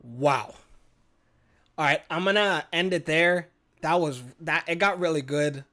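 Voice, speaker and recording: A man hosting a gaming video, reading a horror visual novel aloud in a theatrical, expressive way with casual commentary. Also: on the high side, a little slow. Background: game music.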